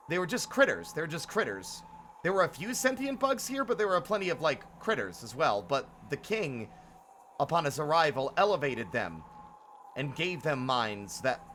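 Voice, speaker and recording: A man's voice: on the high side, fairly bright tone, a little hiss or hum. A man giving casual live gameplay commentary as a streamer, musing and explaining as he goes. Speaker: game streamer.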